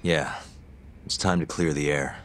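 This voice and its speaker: A man playing a tough, determined detective in a video game cutscene, terse and steady. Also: a bass voice, a little slow, background noise. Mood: calm, reassuring. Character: tough, determined male detective, calm and reassuring.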